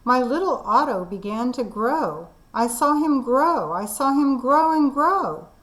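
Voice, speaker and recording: A woman teacher reading a children's storybook aloud, expressive and deliberate. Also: sits high, rough recording. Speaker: kindergarten teacher.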